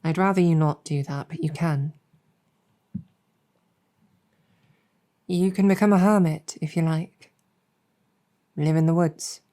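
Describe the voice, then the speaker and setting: A woman playing a gentle, earnest, world-weary demon general in an ASMR roleplay, speaking softly and reassuringly with measured hesitation. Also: low-pitched, fairly even intonation, natural voice. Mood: gently persuasive. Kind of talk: improvised ASMR roleplay storytelling.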